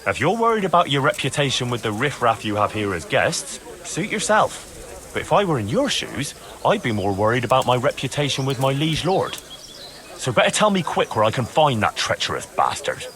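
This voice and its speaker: A man's voice: mid-range voice, sing-song, moderate pace, fairly bright tone. A man playing a hard-nosed young medieval man-at-arms in a video game, blunt, sarcastic and pressing. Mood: stern. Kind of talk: video game cutscene acting.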